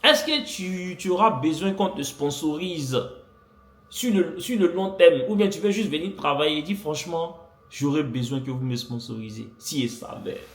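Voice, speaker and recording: A man's voice: on the high side, moderate pace. A young man vlogging, animatedly telling a personal story to his viewers, repeating phrases for effect. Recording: echoey room.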